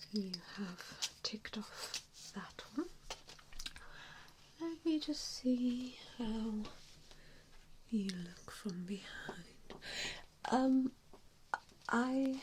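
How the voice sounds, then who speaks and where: Woman, breathy whisper, unhurried pace, gentle reassuring ASMR roleplay, hesitant phrasing, clearly noisy recording. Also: on the high side. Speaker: ASMR roleplayer playing an assessor. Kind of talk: ASMR roleplay, softly whispered personal attention.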